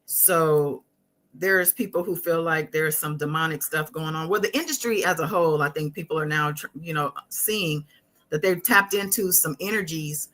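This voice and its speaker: A woman hosting a casual live stream, talking through viewer comments in a conversational, halting way as she thinks aloud. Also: lowish voice, pushed and bright.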